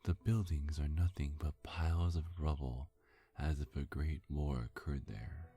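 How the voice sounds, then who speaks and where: A narrator telling a scripted ASMR story in a hushed whisper, deliberately, with long pauses between phrases. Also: rumbling low, fairly even intonation, slightly rough, soft background music.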